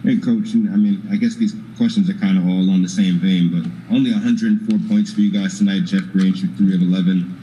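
A man's voice: sits low, steady tone, a little fast, mellow, dull audio. A male sports reporter asking a coach a question, listing statistics in a matter-of-fact way. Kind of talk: asking a press conference question.

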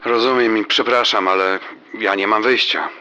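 Middle-aged father, rather low but bright, forward voice, easygoing conversational audio drama style. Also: steady tone, moderate pace, highs cut off. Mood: conciliatory. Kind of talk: naturalistic audio drama dialogue.

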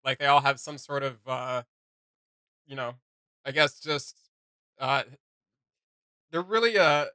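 A man's voice: mid-range voice, measured pace, long pauses, sharp tone, clear, resonant tone, no echo, studio quality. A man, giving rambling, jokey commentary as host of a casual reaction video. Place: small home room with shelves, indoors.

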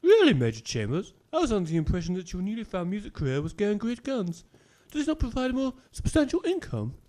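A kindly, genteel English vicar in a comic audio drama. His voice is high and very animated, fawning with effusive politeness. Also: quick pace. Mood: politely curious. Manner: fawning, over-polite, fluttery.

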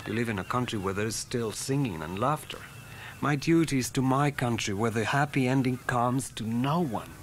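A man's voice: mid-range voice, moderate pace, slight background noise, close to an everyday voice. An actor voicing an earnest, idealistic male revolutionary, speaking in formal, stiff English in an early 1980s radio play.

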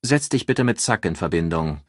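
A man voicing a brisk, dryly polite male chief inspector in a crime audiobook. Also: low voice, expressive, no echo, studio quality. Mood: businesslike. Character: businesslike, wry male police chief inspector.

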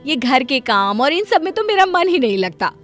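A boastful, bossy young daughter-in-law from an animated family story , acted by a woman. The voice sits high and orders others about with self-importance, with music behind it. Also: moderate pace. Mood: smug.

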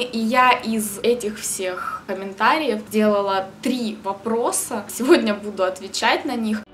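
A woman's voice: a little high, slight background noise. Chatty young woman vlogging to camera. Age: young adult. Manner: chatty, lively gestures, preachy bursts. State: has a cold. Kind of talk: casual vlog talk to camera. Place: small room indoors.

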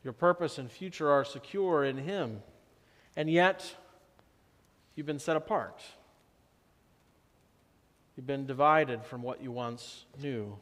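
Slow-preaching pastor in a reverberant church. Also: male voice, medium pitch. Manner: slow, measured preaching with drops to soft emphasis. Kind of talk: sermon. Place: church sanctuary.

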